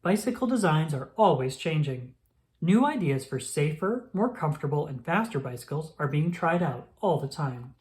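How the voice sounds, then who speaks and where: A narrator reading a children's picture book aloud in a clearly enunciated, instructional storytelling manner. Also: medium pitch, sing-song, normal speed, darkish tone.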